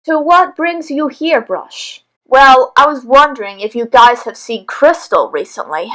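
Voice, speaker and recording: A woman's voice: high voice, lively intonation, a little slow, bright, forceful tone, full character voice, rough recording. A woman voicing an eager, earnest male pony in a dramatic cartoon story reading. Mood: curious.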